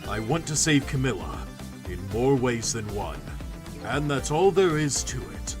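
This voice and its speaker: A man voicing a calm, resolute male detective and father in a game fandub, measured and dry in his delivery. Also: mid-range voice. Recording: voice under prominent music.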